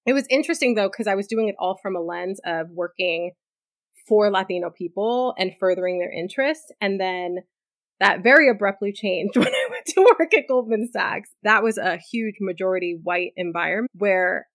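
A woman's voice: mid-range voice, normal speed, dry recording, studio quality. A woman in her thirties from New City, speaking, candidly telling her life story as an interview guest. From New York City. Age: 30s. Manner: candid, lively storytelling with frequent likes and asides. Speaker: guest, corporate lawyer. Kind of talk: conversational interview answers.

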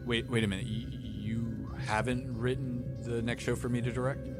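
A man of medium pitch does a bantering ad read as host. He is playful and mock-exasperated, with music clearly behind him. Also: flat delivery, normal speed. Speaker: show director and ad host.

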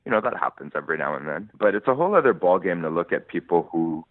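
A man from Lebanon speaks in a very deep voice, weighing his words and laughing lightly through his stories. His voice comes over a dry, narrow phone-like line. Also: sing-song, quick pace, dry recording. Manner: thoughtful, laughs while telling stories. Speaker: musician guest. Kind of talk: conversational interview.

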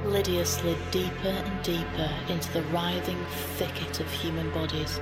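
An actress playing a calm, knowing guide, narrating audio fiction in a measured, assured way. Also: female voice, lowish voice, over loud music, close to an everyday voice. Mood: wry storytelling.

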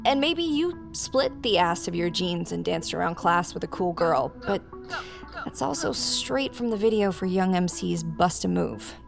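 A woman's voice: fairly low pitch, animated, unhurried, gravelly, over music. An actress playing a frank, playful woman in her twenties in naturalistic audio drama dialogue. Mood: matter-of-fact. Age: 20s. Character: frank, quirky twenty-eight-year-old woman, a drummer.

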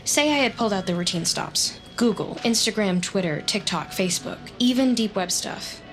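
A woman's voice: mid-range voice, animated, soft background music, lightly acted. An actress playing a lonely, nosy, awkward woman who works as a data analyst, in a scripted audio drama. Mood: awkward, evasive. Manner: awkward, halting, wry, muttering to herself. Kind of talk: scripted audio drama, dialogue and inner monologue.

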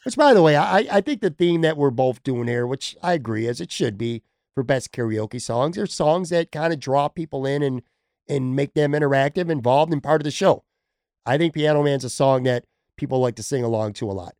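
A man's voice: mid-range voice, a little fast, darkish tone, no echo, clean studio sound. A man hosting a casual sports podcast, chatting and joking with a guest. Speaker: podcast host.

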